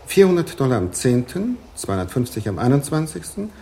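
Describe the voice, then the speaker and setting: An actor playing an obliging, courteous older bank manager in a radio play, speaking politely and precisely. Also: male voice, mid-range voice, big pitch swings, brisk, vocal fry, dark tone, slight background noise. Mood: precise, reading out.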